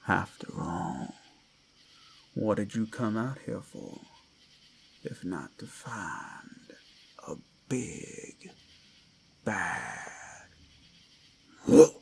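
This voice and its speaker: A predatory male werewolf toys with his prey in an ASMR roleplay. He speaks extremely slowly in a heavy growling rasp, swinging theatrically between seductive murmurs, menace and breathy whispers. Faint noise sits behind the voice. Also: low voice, big pitch swings, darkish tone, exaggerated voice. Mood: sly, coaxing. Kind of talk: ASMR roleplay, dramatic monologue.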